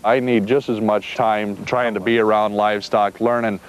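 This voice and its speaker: A man from Iowa, a sheepdog trainer, talking calmly and plainly outdoors, with some fillers. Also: lowish voice, normal speed, heavy background noise. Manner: calm, plainspoken, with fillers and restarts. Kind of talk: casual interview talk. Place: outdoors on a farm.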